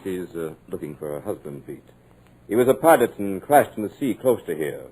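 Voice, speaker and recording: An actor playing a smooth, commanding radio producer with a sinister edge, in a 1940s radio drama. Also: male voice, sits low, sing-song, brisk, darkish tone, exaggerated voice, low-quality recording. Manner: suave, commanding.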